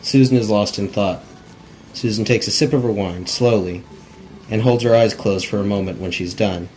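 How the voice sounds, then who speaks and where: Casting: non-actor man as script narrator, lowish, plain, unrehearsed, slight background noise. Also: moderate pace, a little mellow, poor audio. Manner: plain, stumbles over words. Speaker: reader of stage directions. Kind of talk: scripted narration, amateur cold read.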